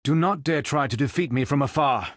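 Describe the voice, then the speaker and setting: A man playing a calm, noble android superhero in a video game, speaking in formal, precise, stilted robotic phrases. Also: medium pitch, a little fast, no echo, clean studio sound, natural voice. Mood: stern, threatening. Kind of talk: video game character voice lines.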